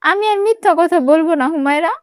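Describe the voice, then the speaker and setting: A woman's voice: very high-pitched, fast talker, no echo, clean studio sound, pitched above the performer's own voice. A woman voicing a restless, fibbing young schoolgirl in a moral cartoon. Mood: remorseful.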